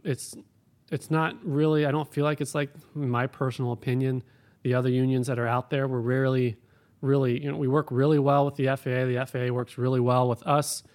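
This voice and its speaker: A man who works as an air traffic controller, answering interview questions in a plain, practical, explanatory way. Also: medium pitch.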